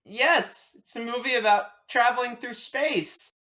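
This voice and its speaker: A man's voice: very high voice, measured pace, sharp tone, voice pushed up, full character voice. Man playing a flustered party host. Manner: flustered, over-explaining.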